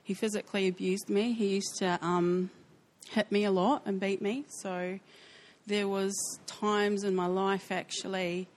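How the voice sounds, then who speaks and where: A woman with a New Zealand accent sharing her life story in a church interview, speaking softly and hesitantly with frequent pauses. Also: medium pitch, flat delivery. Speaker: church member sharing testimony. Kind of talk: personal testimony interview.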